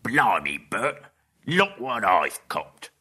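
A huge, dim-witted troll rumbles in a drawl. The voice sits extremely low and moves slowly, with a bright, forceful edge to its rough delivery. It is a character from a read-aloud storybook. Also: male voice, exaggerated voice. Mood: gruff. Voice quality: gruff, slow, rough dialect. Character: huge coarse male troll, grumbling and dim. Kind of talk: audiobook character voice.

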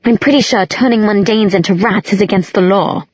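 A woman's voice: medium pitch, a little fast, darkish tone, slightly rough, dull audio, lightly acted. A woman voicing an earnest, protective, doubtful older brother in an audiobook, sounding concerned. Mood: dubious.

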